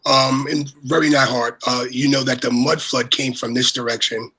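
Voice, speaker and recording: A man from Brooklyn with a New York accent, chatting casually over a video call, swearing and excitedly repeating "you know what I mean". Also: mid-range voice, moderate pace, breathy edge, poor audio.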